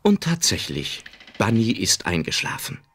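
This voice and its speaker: A woman's voice: very deep voice, wildly swooping pitch, measured pace, breathy edge. A woman reading scripted narration as the storyteller of a radio play.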